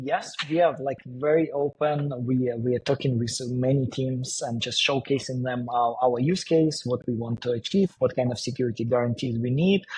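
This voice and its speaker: Hesitant man, husky voice. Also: medium pitch, darkish tone, low-quality recording. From Siberia, Russia. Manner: hesitant, many fillers and restarts. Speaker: crypto startup co-founder, guest. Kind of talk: casual podcast interview.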